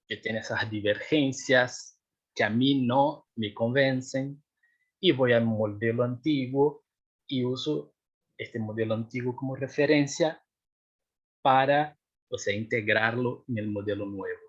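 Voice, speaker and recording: A man's voice: mid-range voice, a little slow, muffled recording. A young man, a doctoral researcher explaining his work in a seminar, hesitant with many fillers and restarts, often checking if he is understood. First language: Portuguese. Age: young adult.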